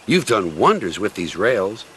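A man's voice: on the low side, big pitch swings, a little slow, a bit bright, a little hiss or hum. A man voicing a little male engine who speaks earnestly. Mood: cheerful. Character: wise little male narrow-gauge engine.

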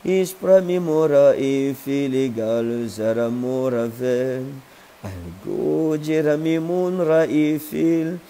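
A man in his thirties, a prophet preaching and praying fervently in tongues on a livestream, speaking slowly and with repetitive emphasis into an earphone mic in a living room. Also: mid-range voice, mellow. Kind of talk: livestream prayer and sermon. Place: living room with a sofa, indoors. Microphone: wired earphone mic.

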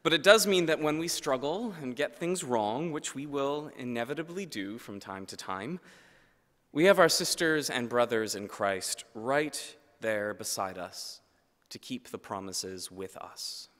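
A man's voice: animated, fairly bright tone. Earnest man preaching in a reverberant church. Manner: measured, earnest delivery, dropping low at phrase ends. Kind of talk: sermon. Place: large church. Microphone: lectern microphone.

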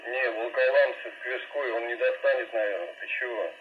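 A man heard over two-way radio, chatting casually and a bit haltingly as an amateur radio operator, picked up from the transceiver's speaker. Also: medium pitch, narrow, tinny audio, a little hiss or hum. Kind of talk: casual ham radio chat. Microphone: radio speaker picked up by phone.

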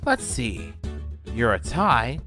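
A man voicing an easygoing, cheerful, slightly dim young man in a comic dub, with a husky voice. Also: medium pitch, big pitch swings, takes it slowly, music in the background. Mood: awkward, musing. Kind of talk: animated comic-dub voice acting.

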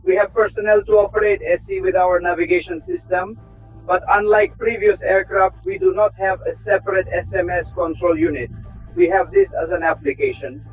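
A middle-aged man, a test pilot from Russia, giving a measured, technical tour of an aircraft cockpit. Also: sits high. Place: aircraft cockpit inside a hangar. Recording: slightly dull sound, faint music behind.

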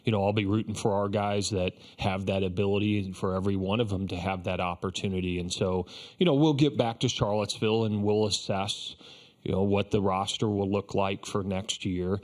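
A low-voiced man in his fifties, a baseball coach, takes reporters' questions at a postgame press conference. He speaks in a flat, even line, measured and reflective, often restarting with "you know." His voice comes through a table microphone in an indoor press room.

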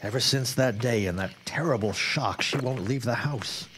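A man's voice: medium pitch, moderate pace, noisy background, natural voice. An actor playing a wary, grave 1910s newsman in a radio drama, speaking in formal, old-fashioned phrases. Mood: sombre. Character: grim, wary 1910s newsman and worried father. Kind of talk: period radio drama acting.

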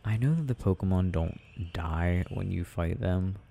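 Man as drowsy gamer boyfriend: tender, hesitant murmur, mellow and very gravelly, intimate ASMR delivery. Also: low-pitched, moderate pace, slight background noise. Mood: apologetic, soft. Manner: murmuring, dropping into whispers, hesitant self-talk. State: sleepy. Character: sleepy, tender, sensitive boyfriend rambling while gaming. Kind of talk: soft intimate ASMR roleplay, unhurried rambling.

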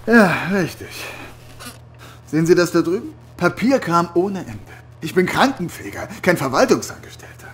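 An actor playing an affable but evasive psychiatric night nurse in a horror radio drama, overly reassuring and hesitant. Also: male voice, sits high, sing-song, moderate pace, a little hiss or hum. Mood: chatty.

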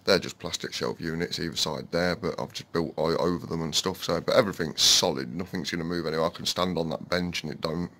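Rambling low-voiced man vlogging his allotment. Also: fairly even intonation, quick pace, hardly any pauses, pushed and bright. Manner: rambling, chatty, many fillers, keeps calling viewers guys. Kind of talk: casual walk-and-talk vlog. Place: outdoor allotment, then small garden shed.

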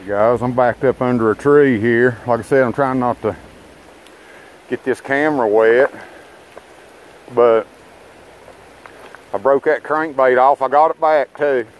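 A man's voice: medium pitch, a little slow, pauses often, slight background noise, poor audio. Folksy man fishing a riverbank. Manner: folksy, rambling, talks to viewers as guys and boys. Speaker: fishing vlogger. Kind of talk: casual running commentary while fishing. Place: outdoors on a rocky riverbank. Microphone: body-worn action camera.

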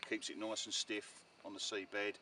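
A middle-aged man chatting casually and haltingly as he explains his fishing gear, repeating words as he goes. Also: lowish voice, level tone, sharp tone. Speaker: angler demonstrating his fishing rig. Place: outdoors on a pebbly shore beside bare bushes. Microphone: camera a few metres away. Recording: noisy outdoor recording, distant from mic.

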